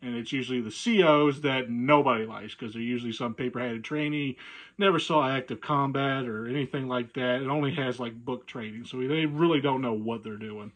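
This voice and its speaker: A man casually telling a humorous anecdote, animated and amused by his own tale. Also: medium pitch, fairly quick. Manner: animated, acts out dialogue, chuckles at own story. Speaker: storyteller.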